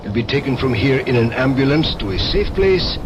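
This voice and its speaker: A man's voice: mid-range voice, lively intonation, fairly quick, dull audio, noisy background, lightly acted. An actor playing a cold, menacing male Russian secret police interrogator in a 1970s radio drama, clipped and threatening.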